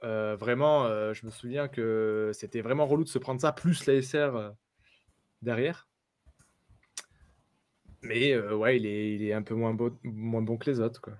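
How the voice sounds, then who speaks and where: A man's voice: medium pitch, steady tone, measured pace, pauses often, darkish tone. A man on a card game panel, chatting casually with slangy, teasing asides. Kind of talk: casual strategy discussion.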